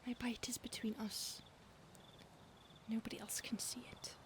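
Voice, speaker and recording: A woman's voice: on the high side, little pitch movement, unhurried, lightly acted. A woman voicing an ancient, possessive female vampire stalker in an audio roleplay, mostly whispering close to the listener. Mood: menacing whisper.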